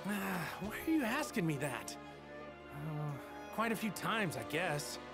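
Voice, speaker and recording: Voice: medium pitch, sing-song, a little slow. An actor playing a good-natured, easygoing male martial arts hero in an anime video game, plainspoken and boyish. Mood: puzzled. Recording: music under the voice.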